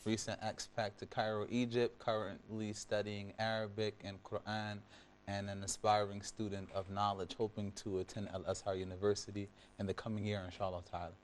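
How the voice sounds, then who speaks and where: A man from Philadelphia, a guest on a studio panel, explaining his views in a measured way. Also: on the low side, level tone, slight background noise. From Philadelphia, USA. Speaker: panel guest, Arabic student.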